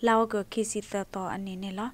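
A woman's voice: medium pitch, little pitch movement, racing. A woman reading a scripted radio news bulletin.

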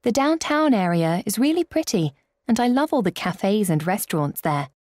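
Sociable, contented female newcomer, played by a woman, lively intonation, textbook audio, dry sound. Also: medium pitch, normal speed, no room sound, lightly acted. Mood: contented, upbeat. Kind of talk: scripted small talk for an English listening exercise.

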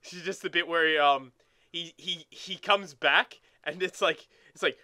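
A man's voice: fairly high pitch, measured pace, sharp tone, no echo, clean studio sound. A young man giving casual gaming commentary, rambling and swearing as he banters. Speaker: let's play co-host.

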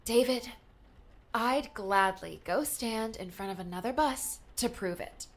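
A woman's voice: a little high, unhurried. A woman playing an irritated young woman in an audiobook, dry, cutting and bitterly sarcastic with her ex. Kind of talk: audiobook character dialogue.

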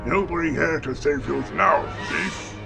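A man voicing a gruff, menacing old alien ex-general turned chef in a comic dub, with a blustering, gravelly growl. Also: fairly high pitch, measured pace. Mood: indignant. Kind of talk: comic dub character acting. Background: music.

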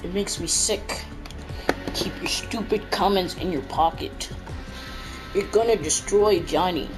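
A woman's voice: on the low side, unhurried, low-quality recording. A woman commentating a video game in a casual, rambling, self-mocking way, her voice worn as if she is losing it. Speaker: gameplay commentator. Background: game music and sounds.

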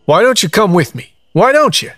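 An actor playing a cocky, streetwise young drifter in an audio drama, wisecracking in folksy, colloquial speech. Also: male voice, high-pitched, very animated, normal speed, fairly bright tone, no echo, clean studio sound, soft background music, exaggerated voice. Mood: coaxing.

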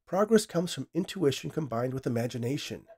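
A man voicing an eager male scientist, speaking in a raised, excited, emphatic way. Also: medium pitch, a little fast, breathy edge, voice pushed up.

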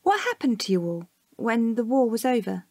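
A woman plays an earnest British child in an audio drama. The voice is high and very lively in pitch, with some huskiness. The manner is inquisitive and childlike. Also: normal speed, no echo, clean studio sound. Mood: eager, curious. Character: curious, earnest young child questioning their father.

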